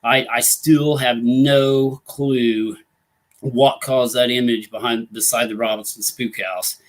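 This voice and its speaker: A Midwestern American man with a hoarse voice from a lingering cold, clearing his throat often. He hosts a casual live stream, reading viewer comments and rambling with plenty of fillers. His pitch sits right in the middle for a man. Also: fairly even intonation, takes it slowly. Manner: rambling, many fillers like "you know" and "uh". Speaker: ghost hunting talk show host.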